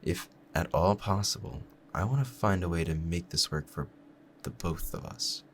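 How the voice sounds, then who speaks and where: A man playing a calm, proud assassin in love, both menacing and tender, talking to the listener in a measured, formal, confiding way in an audio roleplay. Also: low voice, a little hiss or hum. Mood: tender, regretful. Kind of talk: scripted romantic ASMR audio roleplay.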